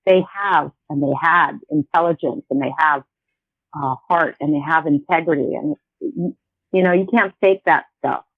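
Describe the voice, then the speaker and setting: A woman's voice: low-pitched, moderate pace, dry recording. A woman in her fifties, a guest in a casual interview, talking in a rambling, hesitant way with many restarts over a tinny phone-like line. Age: 50s.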